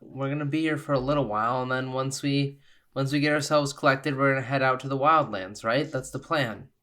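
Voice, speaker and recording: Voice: medium pitch, fairly even intonation, fairly quick, bright, forceful tone, lightly acted. A player voicing an easygoing, encouraging adventurer in an improvised tabletop game, casual, chatty and reassuring. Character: easygoing, encouraging carnival-going adventurer Dakota.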